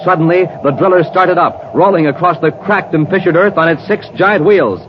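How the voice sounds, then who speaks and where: A man working as a 1950s radio announcer, narrating and pitching in a punchy, dramatic style. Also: moderate pace, noisy background. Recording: dull 1950s radio recording.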